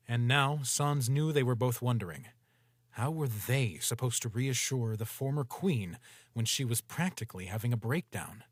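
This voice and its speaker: A man reading a story aloud in a steady, even storytelling manner. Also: mid-range voice, dry recording, studio quality. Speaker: narrator. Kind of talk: scripted story narration.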